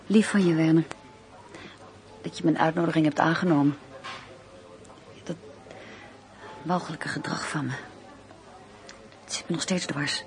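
A woman's voice: low voice, fairly even intonation, a little slow, pauses often, darkish tone. An actress playing an ardent, stubborn younger woman in love in a radio drama, speaking. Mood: tender, contrite. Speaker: actor in a radio play. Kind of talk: Dutch radio drama acting.

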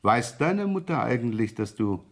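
A crafty police detective from a radio crime drama. He sounds folksy and genial at a medium pitch, teasing as he probes and muttering small interjections between questions. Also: sing-song, normal speed. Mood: quietly pressing.

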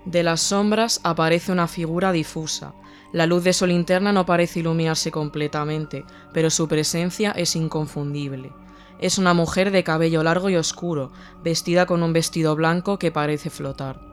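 A male narrator reads a scripted horror tale in a measured, atmospheric manner. His voice is somewhat high for a man, and faint music runs behind it. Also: steady tone.

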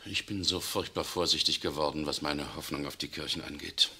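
A man acting in a 1960s radio play as a tense German SS officer who secretly resists, speaking urgently, swinging between outbursts and hushed confession. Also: very deep voice, steady tone, brisk, slightly rough, exaggerated voice. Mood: confiding. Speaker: radio drama actor.

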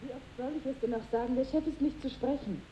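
An actress playing a harried, officious secretary of a company boss, brisk and impatient, in a 1950s radio play. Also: female voice, sits high, natural voice. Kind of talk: 1950s radio drama acting. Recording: muffled, noisy old radio recording.

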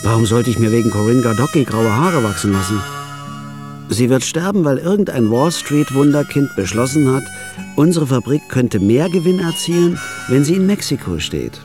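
An actor narrating as a laid-off factory worker turned hired killer, with a dry, wry inner voice. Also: male voice, mid-range voice, animated, normal speed, a little mellow, soft background music, lightly acted. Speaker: narrator and lead. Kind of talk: radio drama narration.

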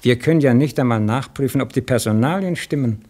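An actor playing a jaded, bossy senior official in a radio drama, curt and condescending, with a Viennese accent. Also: male voice, medium pitch, fairly quick, warm tone, close to an everyday voice. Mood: jaded, wary. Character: grumbling, jaded senior male bureaucrat, bossy and cautious.

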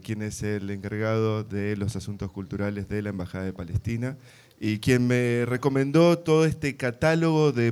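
A man's voice: mid-range voice, a little mellow, smooth. A man with an Argentine Spanish accent, speaking casually as a radio interviewer.

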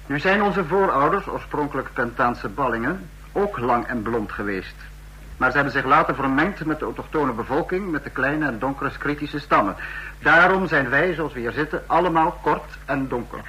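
An actor playing a cold dictator in an old Dutch radio drama, speaking formally and with measured menace. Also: male voice, medium pitch, expressive, sharp tone, poor audio. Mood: stern, grave. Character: cold, commanding dictator of a fascist state.

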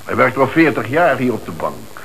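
Radio play company director: earnest, easily rattled, halting, Netherlands Dutch accent, faintly hissy old recording. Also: male voice, mid-range voice, sing-song, gravelly, a bit bright, low-quality recording. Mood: flustered, shocked. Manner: halting, repeats words when rattled. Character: agitated, earnest male company director, flustered witness.

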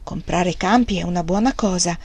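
A woman reading a calm peasant wife. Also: medium pitch, natural voice. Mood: cautious. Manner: calm, measured. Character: quiet, patient young peasant wife.

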